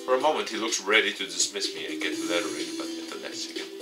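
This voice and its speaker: A man's voice: deep voice, animated, fast talker, recorded from a distance, over loud music. A man reading a visual novel aloud and commenting as he plays, as a gaming video host. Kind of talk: let's play, reading game text aloud.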